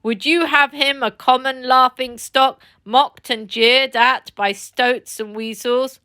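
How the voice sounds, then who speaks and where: A woman's voice: high-pitched, steady tone, takes it slowly, sharp tone, heavily acted. A woman voicing a gloomy, blunt old male badger.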